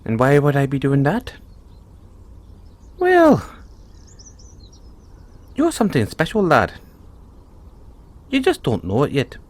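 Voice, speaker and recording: A man's voice: fairly high pitch, huge pitch swings, slow, long pauses, no echo, exaggerated voice. A man playing a cheerful, kindly leprechaun with a teasing, folksy manner, in an audio roleplay spoken to the listener. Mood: sheepish, reassuring. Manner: chuckling, teasing, folksy talk. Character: cheerful, kindly male leprechaun, chatty and sly.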